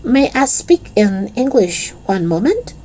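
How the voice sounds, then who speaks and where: An awkward schoolboy in a storybook, acted by a woman narrator. He speaks haltingly in a put-on French accent, pitched a little high and soft in tone. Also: big pitch swings, a little hiss or hum, rough recording. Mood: tentative, apologetic. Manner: hesitant, halting. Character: schoolboy posing as a French pupil, tentative and awkward.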